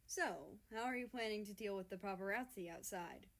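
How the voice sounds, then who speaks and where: A woman's voice: medium pitch, normal speed, vocal fry, lightly acted. A woman voicing a blunt, bickering but friendly younger brother in a read-aloud story. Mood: plain, friendly.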